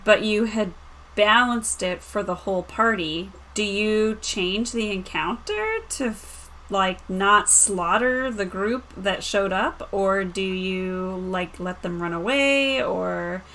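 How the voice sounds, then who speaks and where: A woman's voice: medium pitch, animated, takes it slowly, a bit bright, low-quality recording. Casual woman panelist with background noise. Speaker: podcast panelist. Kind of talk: casual chat, posing questions.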